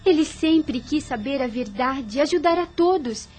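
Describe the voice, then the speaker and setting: An actress playing a gentle but firm, loyal young woman in a radio soap, speaking in an earnest, formal way. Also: high voice, lively intonation, moderate pace, soft background music. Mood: firm, indignant. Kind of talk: radio soap opera acting.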